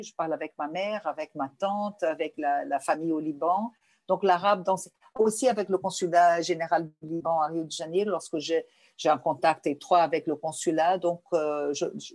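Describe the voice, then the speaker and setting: A woman's voice: on the low side, a little mellow, dry recording. Woman. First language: Portuguese. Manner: searching for words, many restarts. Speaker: guest. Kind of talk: interview answers.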